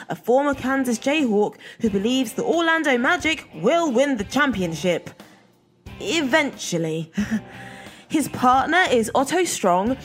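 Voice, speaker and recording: A woman reading a scripted show introduction with a playful, tongue-in-cheek delivery. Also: fairly high pitch. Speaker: show announcer. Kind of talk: scripted announcer read. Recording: light music bed underneath.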